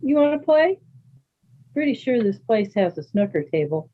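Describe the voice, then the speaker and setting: Woman acting as older yoga teacher lady, calm n chatty, asks gentle questions, sounds like a phone line. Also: fairly high pitch, normal speed. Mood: friendly, inviting. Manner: conversational, gently probing with sideways questions. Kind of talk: readers theater dialogue. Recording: thin phone-like band-limited sound.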